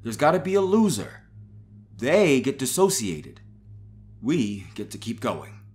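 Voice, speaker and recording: An actor playing a defensive, menacing young fraternity brother in a horror audio story. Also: male voice, mid-range voice, sing-song, unhurried, darkish tone. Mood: insistent, defensive.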